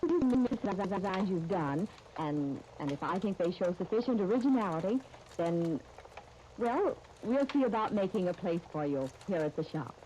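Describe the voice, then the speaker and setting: An actress playing an earnest, courteous dress-shop owner in a 1930s radio soap, speaking in a polite, measured, slightly hesitant way. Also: female voice, medium pitch, lively intonation, dark tone, lightly acted. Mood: polite, careful. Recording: old 1939 radio disc with faint crackle.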